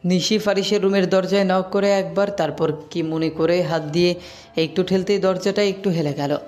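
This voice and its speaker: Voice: mid-range voice, steady tone, normal speed, darkish tone. A narrator reading a scripted romantic story as the storyteller. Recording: background music under the voice.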